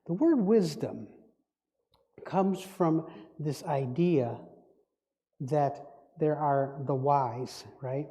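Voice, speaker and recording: Slow, dark-voiced man. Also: a little high. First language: Dutch. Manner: reflective, musing, circles back on words. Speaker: guest speaker. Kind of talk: spiritual talk. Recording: echoey hall reverb.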